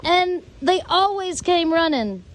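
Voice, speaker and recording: An American woman telling rambling personal stories in drawn-out, halting phrases with long pauses. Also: very high voice, lively intonation, a little hiss or hum. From United States. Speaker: storyteller host.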